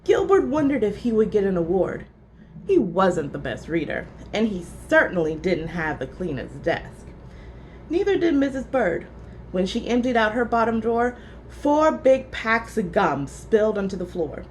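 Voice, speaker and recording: A woman storyteller reading a children's book aloud, expressive and clearly phrased. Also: mid-range voice, normal speed, a little hiss or hum.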